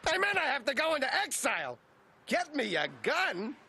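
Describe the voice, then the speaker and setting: A man's voice: very high-pitched, huge pitch swings, normal speed, pushed and bright, exaggerated voice. A man voicing a whining, explosive cartoon duck, a detective and father given to constant griping. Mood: despairing. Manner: whining, belly-aching, explosive complaining. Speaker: private detective. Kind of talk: animated comedy acting.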